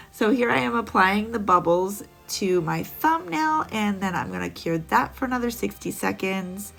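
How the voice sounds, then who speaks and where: A woman's voice: mid-range voice, expressive, unhurried, creaky, a bit bright, soft background music. A woman narrating a casual nail art tutorial, chatty and rambling with little laughs, a bit sniffly.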